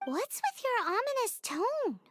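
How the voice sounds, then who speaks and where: Little girlish fairy, game companion, piercingly high, squeaky, hugely animated, cheeky, easily spooked, music playing. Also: measured pace, exaggerated voice. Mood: curious. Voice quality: squeaky, childlike. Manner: cheeky, exaggerated, refers to self in third person. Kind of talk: video game voice acting.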